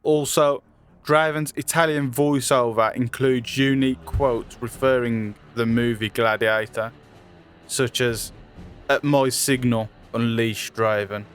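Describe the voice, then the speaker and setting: A man's voice: mid-range voice, steady tone, slow pace. A man reading scripted trivia narration as the narrator of a video. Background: music.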